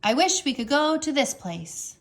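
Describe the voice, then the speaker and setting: A woman's voice: sits high, sing-song, moderate pace, pitched above the performer's own voice. A woman voicing a cautious, bookish young boy adventurer in a storybook, with a nervous, careful manner.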